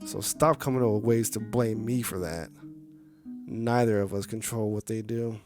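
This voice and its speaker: A man's voice: lowish voice, steady tone, normal speed, lightly acted. A man voicing a sad, insecure male clown puppet who gets defensive, in a visual novel game. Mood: accusing. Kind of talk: visual novel character reading. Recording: music faintly behind the voice. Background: music.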